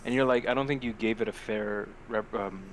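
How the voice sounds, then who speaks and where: Animated man co-hosting over a noisy recording. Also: fairly low pitch, fairly quick. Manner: animated, slangy, swears freely. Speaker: podcast co-host. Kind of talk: casual movie-review banter.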